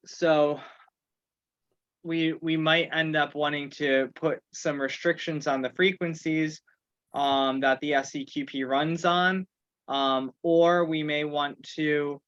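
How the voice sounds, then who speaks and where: A man with a slightly high voice chairs a casual online meeting. He talks haltingly, working through his thoughts aloud. The sound is dry and a little dull, as on a video call. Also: fairly even intonation, fairly bright tone, dry recording. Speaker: meeting host and organizer. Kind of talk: informal online meeting talk. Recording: muffled video call audio.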